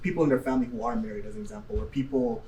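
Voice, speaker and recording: A man's voice: on the high side, animated, racing, vocal fry. A man in his twenties giving his opinion as a talk show guest, unscripted, hedging and often checking in with a tag question. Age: 20s. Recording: distant mic, faint background noise.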